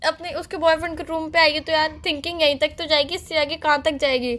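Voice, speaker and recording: Very high-pitched young woman, insistent and bright. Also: fairly even intonation, quick pace. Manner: insistent, questioning, pleading. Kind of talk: casual relationship argument on a phone call. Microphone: phone call.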